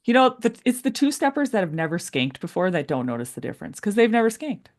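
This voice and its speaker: A woman's voice: medium pitch, sing-song, fast talker, a little mellow. A woman in her early forties chatting casually as a podcast co-host. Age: 40s. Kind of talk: casual chat.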